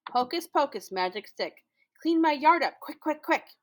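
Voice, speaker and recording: A woman's voice: high-pitched, expressive, moderate pace, pitched above the performer's own voice. A woman voicing a lazy, boastful male spider in a children's folktale, bossy and dramatic, with emphatic commands. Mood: commanding.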